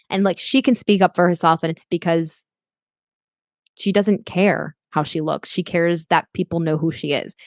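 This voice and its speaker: A woman's voice: fairly low pitch, no echo, clean studio sound. A young adult woman, a life coach as a podcast guest, talking earnestly and reflectively with frequent restarts. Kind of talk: podcast interview.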